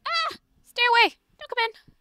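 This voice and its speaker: A woman's voice: very high-pitched, normal speed, pushed and bright, no room sound, studio quality. A woman playing an insecure, moody teenage girl who runs herself down, in a comic fan dub. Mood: panicked.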